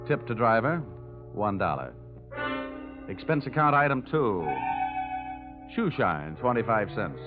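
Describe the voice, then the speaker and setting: An American actor playing a wry, wisecracking insurance investigator in an old-time radio detective drama. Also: male voice, lowish voice, very animated, measured pace, long pauses. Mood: wry, self-assured. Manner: wry, breezy, sardonic. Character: cocky wisecracking male insurance investigator, rugged and unflappable. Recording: tinny vintage radio recording, faint music.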